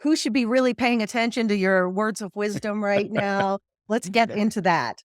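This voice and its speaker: A woman's voice: medium pitch, normal speed, dry recording, studio quality. A woman hosting a podcast interview, upbeat and welcoming as she asks guiding questions. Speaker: podcast host. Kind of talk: conversational interview.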